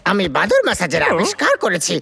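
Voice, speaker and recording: An actor voicing a calm, obliging animal helper in a cartoon dub. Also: medium pitch, fast talker, creaky, over a noisy crowd. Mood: helpful.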